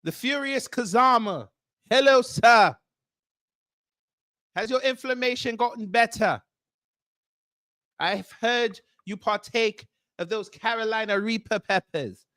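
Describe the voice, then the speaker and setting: A man's voice: extremely high pitch, takes it slowly, long pauses, bright, forceful tone, no room sound, full character voice. A man putting on a Nigerian accent to play a grandiose, formal, self-proclaimed prince who hosts a livestream and greets viewers one by one. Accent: put-on Nigerian. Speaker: livestream host. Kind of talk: comedic character livestream.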